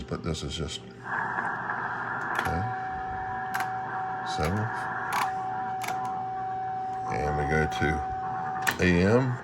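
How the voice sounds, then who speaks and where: A man giving a casual hands-on demonstration as a hobbyist, halting and thinking aloud as he works. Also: extremely low pitch. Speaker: radio hobbyist demonstrating equipment. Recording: clear radio static and hiss behind. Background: shortwave radio noise.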